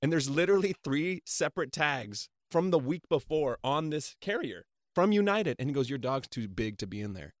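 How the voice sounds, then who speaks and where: A man on a talk show panel, chatting casually and telling animated anecdotes. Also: fairly high pitch, moderate pace, few pauses, no room sound, clean studio sound. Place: dry radio studio.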